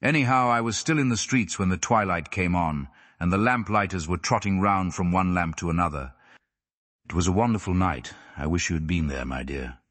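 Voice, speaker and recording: A man's voice: deep voice, a little fast, a bit bright. A man voicing a mild, dreamy young husband who reminisces in a wistful, rambling way in an audiobook.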